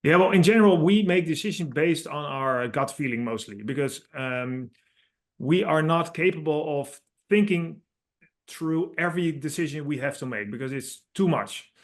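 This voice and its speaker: A man's voice: on the high side, moderate pace. A middle-aged man from the Netherlands with a Dutch accent, a guest author explaining his ideas earnestly in a podcast interview, with slightly non-native phrasing.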